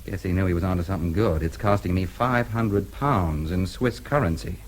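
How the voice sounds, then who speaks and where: A man's voice: low-pitched, a little fast, slight background noise, natural voice. An actor playing a cool, daring American newspaperman in a radio drama, with an American accent and a laconic, matter-of-fact way of talking. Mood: casual, confident.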